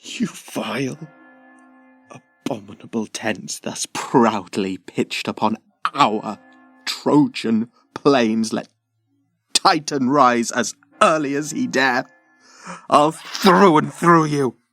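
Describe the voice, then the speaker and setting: An actor playing a bitter, vengeful young prince with impassioned declamation in a Shakespearean audio drama. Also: male voice, medium pitch, takes it slowly, a bit bright, no echo, faint music behind. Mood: vengeful fury.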